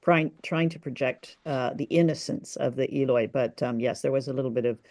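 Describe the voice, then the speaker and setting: A woman's voice: rumbling low, brisk, darkish tone, no echo. Thoughtful woman, dry close recording. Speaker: co-host of an old-time radio discussion show. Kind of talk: casual conversational discussion.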